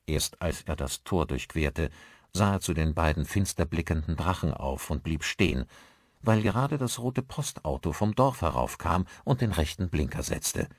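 A man reading a scripted mystery story as an audiobook narrator, with expressive, measured delivery. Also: deep voice, dry recording, clean studio sound.

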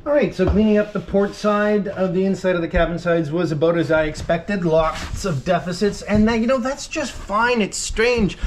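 A middle-aged man narrating his wooden boat restoration casually while he works, enthusiastic and chatty, with fillers and laughs. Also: sits high. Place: wooden boat cabin interior.

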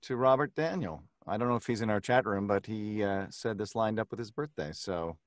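A man's voice: fairly low pitch, brisk, no room sound. A middle-aged man chatting casually on a live stream through a studio microphone. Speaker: voice actor on a live show. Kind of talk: casual chat. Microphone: studio mic.

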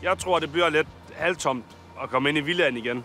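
A man's voice: on the high side, bright, forceful tone. A young man on a reality show, chatting bluntly and wryly on a tropical beach, swearing casually. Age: young adult. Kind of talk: casual chat and confessional interview. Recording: reality TV with music underneath. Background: music bed.

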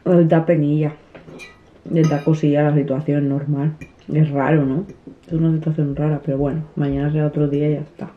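A woman's voice: deep voice, flat delivery, brisk. A woman in her thirties, a family vlogger, chatting casually to the camera while eating at a table at home. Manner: chatty, restarts often, soft. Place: small room at a dinner table, indoors. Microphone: camera on the table at close range. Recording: tinny, phone-like sound.

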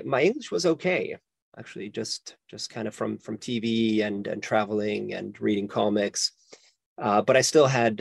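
A man's voice: fairly low pitch, vocal fry, husky, dry recording. A man in his forties from Sweden, a guest historian talking reflectively in an interview, with many restarts and fillers. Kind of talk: casual interview conversation.

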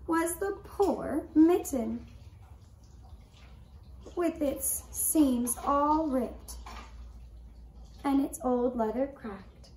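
A woman narrating a scripted children's story in a deliberate way, with dramatic pauses. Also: high-pitched, dark tone. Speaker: puppet show storyteller. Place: indoor room with draped puppet stage. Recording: roomy echo, faint background noise.

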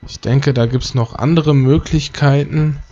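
A man's voice: mid-range voice, fairly even intonation, a little slow, dark tone, muffled recording, a little hiss or hum. A man giving casual let's play commentary, chatty and joking as he thinks aloud. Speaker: gaming YouTuber.

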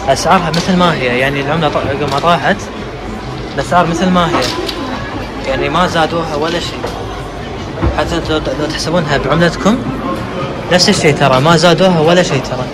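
A young man with a Gulf Arabic accent vlogs a tour of a shopping mall, speaking fast and casually to his viewers. He talks into a handheld phone, and loud crowd chatter and the mall's hubbub surround his voice. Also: fairly high pitch, heavy background noise. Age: young adult. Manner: chatty, addresses viewers directly, restarts words. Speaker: travel vlogger. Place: large indoor shopping mall. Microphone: handheld phone camera. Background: shoppers and mall ambience.

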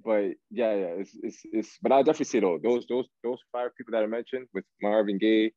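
A man, chatting casually as an interview guest in a halting, slangy way on a video call. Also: fairly low pitch, dry recording, dull audio. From Canada, raised in Toronto. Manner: halting, slangy, searching for words. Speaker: guest R&B singer. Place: small room, indoors. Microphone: video call, device at arm's length.

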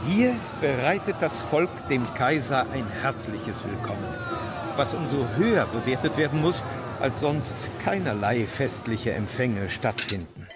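A man's voice: medium pitch, very animated, unhurried, very noisy. A man reading scripted travelogue narration in a formal, florid manner.

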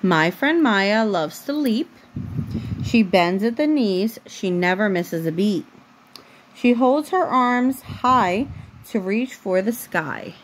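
Mom reading a bedtime story, unhurried, noisy recording. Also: female voice, mid-range voice, lively intonation. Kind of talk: read-aloud picture book for a small child. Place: bedroom at home. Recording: noisy home phone recording.

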